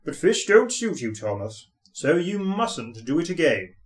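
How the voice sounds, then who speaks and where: A man voicing a pompous, good-humoured railway controller who exclaims and gently scolds in a storybook. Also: fairly high pitch, sing-song, measured pace, voice pushed up. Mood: amused, scolding.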